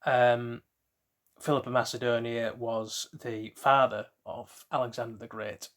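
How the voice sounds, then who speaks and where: Man, quiz host, slow pace, mid-pitched voice, casual trivia narration, hesitant rambling asides. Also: level tone, fairly bright tone. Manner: reads questions, then rambles loosely with hesitant asides.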